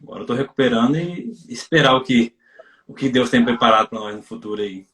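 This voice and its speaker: A man's voice: mid-range voice, fast, a bit bright, distant mic. A Brazilian footballer chats loosely in an interview, circling back and restarting his sentences. His voice sounds roomy and echoing. He sits well back from the mic, as on a video call. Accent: Brazilian Portuguese. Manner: rambling, repeats himself, many restarts. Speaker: footballer guest. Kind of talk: casual interview over a live stream.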